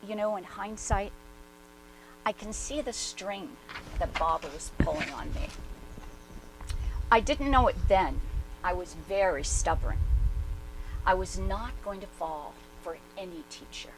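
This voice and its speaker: Woman acting out hard-boiled skeptical female journalist, medium pitch, blunt and candid, conversational stage monologue, background noise. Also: expressive, a little slow, pauses often, poor audio. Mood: cynical, stubborn. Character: skeptical, hard-boiled older woman, former war correspondent, bitter and candid. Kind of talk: dramatic reading in conversational first-person monologue.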